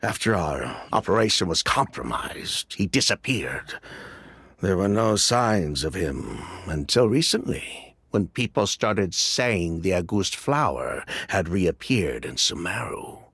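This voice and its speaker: An actor playing an evasive ex-convict perfume swindler in a video game, speaking in a theatrical, glib, defensive way. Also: male voice, deep voice, very animated, slow pace, a bit bright, husky, dry recording, clean studio sound, heavily acted. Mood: grave recounting.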